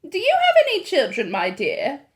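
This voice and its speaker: A woman voicing a calm, loving elderly grandmother who speaks steadily and warmly. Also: high-pitched, moderate pace, voice pushed up. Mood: calmly polite. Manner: cool, steady, affectionate.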